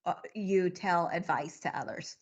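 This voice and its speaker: A woman's voice: fairly low pitch, unhurried, slightly rough, dull audio. A woman guest-hosting an interview show, effusive and full of praise for her guests. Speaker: guest host.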